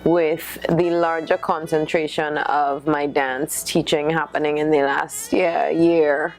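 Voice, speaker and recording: A Trinidadian woman in her thirties, a dancer answering interview questions in a thoughtful way, often pausing with fillers. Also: sits low, level tone, darkish tone. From Trinidad and Tobago. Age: 30s. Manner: thoughtful, lists things with many fillers.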